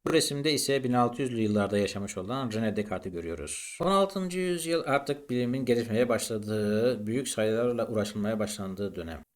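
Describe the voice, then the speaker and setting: Low-pitched man explaining like a teacher. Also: expressive, fairly quick, hardly any pauses. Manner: didactic, poses questions then answers them. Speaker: maths teacher presenting. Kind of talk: explanatory lesson narration.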